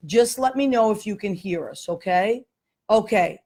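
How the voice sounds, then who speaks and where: A woman's voice: mid-range voice, animated, moderate pace, mellow. A woman hosting a live online talk show, chatting with guests and greeting viewers as they join. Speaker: host. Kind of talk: casual live interview chat.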